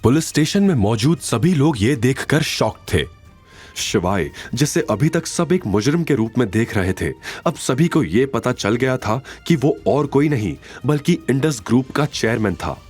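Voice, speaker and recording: A man's voice: medium pitch, lively intonation, unhurried, darkish tone, breathy edge. A man narrating a scripted audio drama in a dramatic storytelling style. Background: background music.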